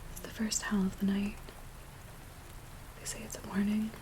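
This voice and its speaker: A woman playing an anxious, earnest villager in a hushed, breathy, hesitant ASMR roleplay voice. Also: mid-range voice, moderate pace, close to an everyday voice, low-quality recording. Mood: fearful warning. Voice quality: breathy, whispery soft voice. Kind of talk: soft-spoken ASMR roleplay. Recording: steady rain noise behind close soft voice. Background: rain ambience.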